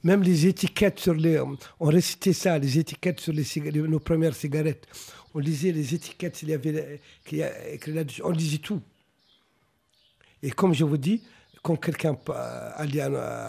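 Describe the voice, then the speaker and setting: A Mauritanian novelist, whose first language is Arabic, answers interview questions in a thoughtful way, often pausing and restarting his sentences. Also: fairly high pitch, creaky, dark tone, husky. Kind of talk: reflective radio interview answers.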